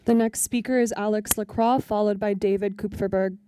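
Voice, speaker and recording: A woman's voice: medium pitch, steady tone, a little fast, warm tone, clean tone, dry recording. A woman chairing a public meeting, briefly and formally calling the next speakers. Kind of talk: formal procedural announcements.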